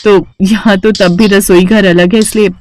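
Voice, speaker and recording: A woman's voice: mid-range voice, steady tone, moderate pace, darkish tone, close to an everyday voice. A woman voicing a humble, patient young daughter-in-law in a cartoon story, with an accepting, reassuring manner. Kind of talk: Hindi cartoon bedtime-story character acting.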